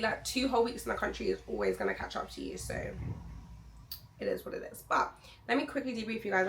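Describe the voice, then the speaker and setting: A young woman vlogger, hoarse from losing her voice, chatting to camera in a rambling, candid way. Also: medium pitch, fairly bright tone. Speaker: travel vlogger. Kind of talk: casual chat to camera.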